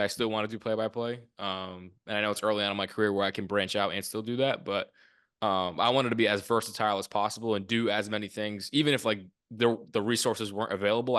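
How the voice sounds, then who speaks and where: Twenties male host, low pitch, loose rambling questions, dry close mic. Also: fairly bright tone, dry recording. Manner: rambling questions with many fillers. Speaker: podcast host. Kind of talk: casual interview questions.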